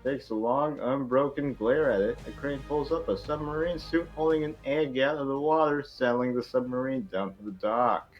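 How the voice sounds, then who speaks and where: A man's voice: medium pitch, normal speed, hardly any pauses, dull audio, soft background music. A man reading stage directions aloud in an amateur script read, sometimes stumbling over the words.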